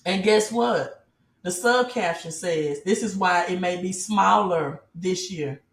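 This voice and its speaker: A woman giving an off-the-cuff motivational sales pitch as a business promoter, emphatic, repeating key phrases and asking rhetorical questions. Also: slow pace, reverberant. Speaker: business promoter and team leader giving an online presentation.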